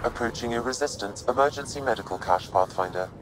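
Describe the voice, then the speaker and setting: An actor playing a calm, precise ship AI that makes flat announcements. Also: male voice, mid-range voice, fast, loud music behind the voice, close to an everyday voice, rough recording.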